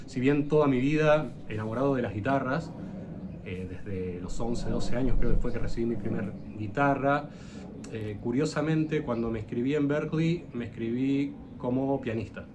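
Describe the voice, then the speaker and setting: Argentine man telling his story haltingly. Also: mid-range voice, background noise. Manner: hesitant, frequent "eh" fillers, rambling anecdotes. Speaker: luthier guest. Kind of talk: casual interview storytelling.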